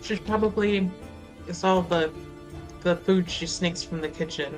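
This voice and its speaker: A woman's voice: lowish voice, unhurried, soft background music, lightly acted. A woman voicing a chatty, teasing young friend in a tabletop roleplaying game, casual in manner.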